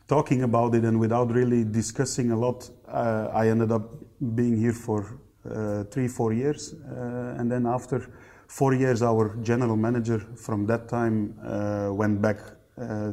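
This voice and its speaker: A man in his thirties with a Belgian accent speaks as an interview guest. His voice is mellow and relaxed, at an average male pitch, and he pauses often as he puts his answers together. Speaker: interview guest, company general manager. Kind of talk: conversational podcast interview.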